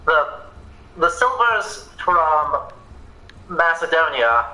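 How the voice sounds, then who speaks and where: A young man co-hosts a game stream with casual, informative remarks. His voice is fairly high for a man and strikingly bright and sharp. The room adds clear echo. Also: sing-song, very slow. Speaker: co-host of a gaming livestream. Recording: roomy echo.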